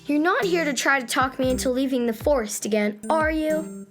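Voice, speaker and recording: Woman acting a high-voiced giant summer girl. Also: normal speed, music in the background, lightly acted. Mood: breezy, woozy. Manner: dramatic, exclaiming. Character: giant inflatable summer girl, hot-tempered and boastful. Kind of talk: children's radio play acting.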